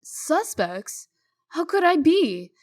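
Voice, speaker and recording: A woman playing a stern, exasperated official in a comic dub, brisk, scolding and authoritative. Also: high voice, very animated, heavily acted. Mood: indignant. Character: stern, exasperated, businesslike female city official.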